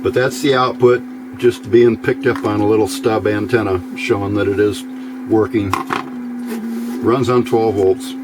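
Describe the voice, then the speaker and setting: A man with a lowish voice walks through a hobby electronics build in an informal way. He speaks off the cuff, halting and thinking out loud. Background noise is clearly audible behind his voice. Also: fairly even intonation. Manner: unscripted, halting, thinking aloud. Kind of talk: informal hands-on electronics demonstration.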